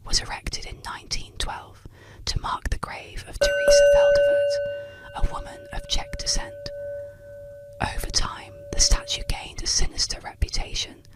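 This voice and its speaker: A woman narrating eerie legends as scripted bedtime ASMR, whispering slowly and soothingly close to the microphone. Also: extremely high pitch. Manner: slow, soothing bedtime delivery. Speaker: ASMR storyteller.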